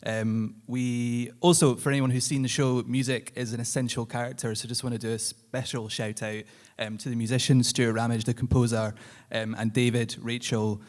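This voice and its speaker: A man's voice: medium pitch, darkish tone. Male, medium register, informal acceptance speaker at a live ceremony. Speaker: theatre-maker accepting an award. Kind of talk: thank-you speech. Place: live on stage at an awards ceremony.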